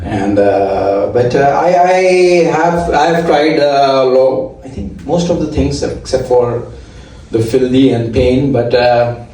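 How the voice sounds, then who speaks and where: A man with an Indian accent performs a stand-up set in a mellow, mid-pitched voice. He stumbles along with filler sounds and restarts. The recording is very echoey and the mic sits some distance from him. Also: takes it slowly, few pauses. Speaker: stand-up comedian.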